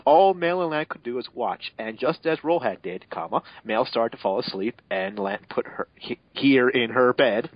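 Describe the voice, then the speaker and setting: A man's voice: medium pitch, animated, normal speed, few pauses, no echo. A man narrating fan fiction as a mocking dramatic reading, sarcastic and exasperated, breaking off for asides, on a muffled home recording. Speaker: narrator and reader.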